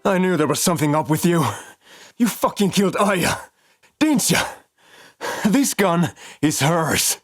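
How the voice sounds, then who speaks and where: Lively-pitched man acting an angry spaceship crewman. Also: measured pace. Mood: accusing, menacing. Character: angry, accusing, foul-mouthed spaceship crew member. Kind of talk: sci-fi audio drama acting.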